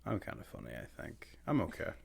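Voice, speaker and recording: Young guy on a podcast, real low voice, tired and deadpan, swears a lot. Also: fast talker, creaky, breathy edge. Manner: deadpan, teasing, swears casually. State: tired from poor sleep. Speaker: podcast co-host. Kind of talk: casual chat.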